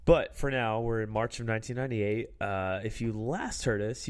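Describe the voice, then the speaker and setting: A man's voice: mid-range voice, clear, resonant tone. A man hosting a podcast in casual chat, laid-back and rambling, a little drunk. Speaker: podcast host.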